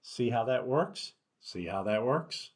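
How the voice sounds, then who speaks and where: A man's voice: medium pitch, animated, slow pace. A man voicing a chirpy storybook parrot pupil who repeats phrases emphatically. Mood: teasing.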